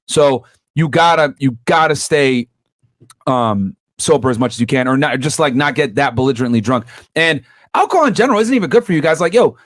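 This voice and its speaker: A man's voice: mid-range voice, expressive, brisk, no echo. Man in his thirties lecturing viewers. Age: 30s. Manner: lecturing, repeatedly addresses viewers as guys. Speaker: podcast co-host. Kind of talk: casual podcast chat.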